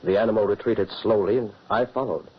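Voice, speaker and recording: A husky, wary father. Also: on the low side. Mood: recounting. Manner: earnest, measured storytelling. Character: wary widowed father Krantz, a fugitive. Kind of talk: 1940s radio acting. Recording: dull old radio recording.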